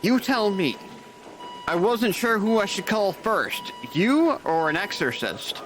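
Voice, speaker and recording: An actor playing a jaded male nurse supervisor who talks with calm, dry gallows humor. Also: sits high, slow, soft background music, full character voice. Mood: wry grim. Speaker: charge nurse. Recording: noisy background.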